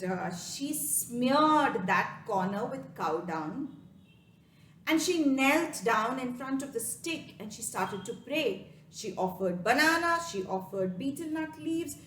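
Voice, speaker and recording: A woman with an Indian accent telling a folktale expressively, with dramatic pauses and emphatic repetition, addressing listeners directly. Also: high-pitched, big pitch swings, a bit bright. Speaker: storyteller. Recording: echoey room sound.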